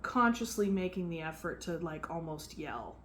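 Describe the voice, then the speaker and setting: A soft-spoken woman giving a casual tarot reading, chatty and relaxed with frequent fillers. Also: deep voice, normal speed. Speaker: tarot reader. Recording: faint background noise.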